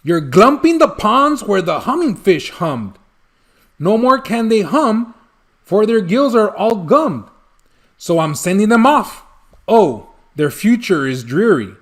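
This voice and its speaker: Man acting a snappish, high-pitched old forest creature. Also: exaggerated voice. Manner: snappish, emphatic rhyming speech. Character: indignant, bossy old forest creature scolding a polluter.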